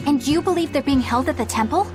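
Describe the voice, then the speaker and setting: Game casting: dutiful young woman exorcist, highish voice, courteous and formal, over music. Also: animated, fast talker. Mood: polite, resolute. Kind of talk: video game voice acting. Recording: music under the voice.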